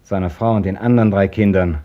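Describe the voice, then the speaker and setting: An actor playing a blunt, busy hospital doctor in a radio drama, dry and ironic but gentle with children. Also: male voice, sits low, fairly even intonation, fast talker, lo-fi sound, lightly acted. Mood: dry, matter-of-fact. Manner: dry, clipped, formally ironic. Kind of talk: German radio drama acting.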